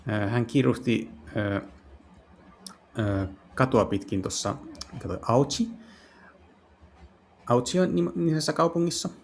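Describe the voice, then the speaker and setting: A man translating game dialogue casually and haltingly, searching for words as he goes. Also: mid-range voice, gravelly, slight background noise. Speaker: gaming video host and live translator.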